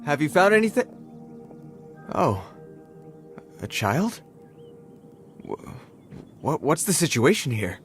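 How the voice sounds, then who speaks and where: A man's voice: a little high, big pitch swings, slow pace, pauses often, faint music behind. A man voicing a dutiful patrol guard in a game, formal in speech and flustered around children. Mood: stern. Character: adult male patrol guard, dutiful and awkward. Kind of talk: game cutscene voice acting.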